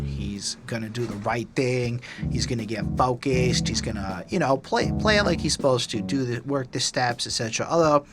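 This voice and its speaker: A man co-hosting a music podcast, talking casually and analytically in a rambling, opinionated way. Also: mid-range voice. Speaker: podcast co-host reviewing music. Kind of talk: casual opinionated album discussion. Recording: music playing behind the voice.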